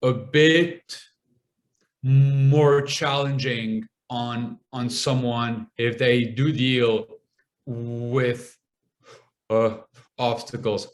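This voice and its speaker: Young guy from lebanon w a stutter, super slow, lots of pauses and repeats, podcast guest. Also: mid-range voice, steady tone. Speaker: guest speaker and comedian. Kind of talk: podcast interview conversation.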